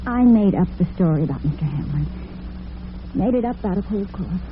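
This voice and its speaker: A woman's voice: medium pitch, lively intonation, brisk, lightly acted. A woman playing a devoted, nervous and defensive young working daughter in an old-time radio drama. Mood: evasive, pleading. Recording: tinny, noisy vintage radio broadcast.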